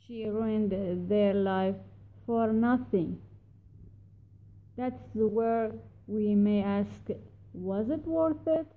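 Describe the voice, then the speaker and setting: Slow, mellow, halting woman. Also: medium pitch, rough recording. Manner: halting and careful, pausing often to find words; reflective, thoughtful questioning. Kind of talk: recorded spoken reply about a story.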